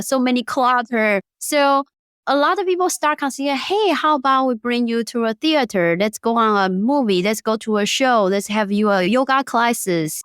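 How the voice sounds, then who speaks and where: Taiwanese woman, late 30s, startup founder guest; smooth, clear voice, dry close recording. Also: fairly high pitch, no room sound. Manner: non-native grammar, lively storytelling. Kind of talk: interview conversation.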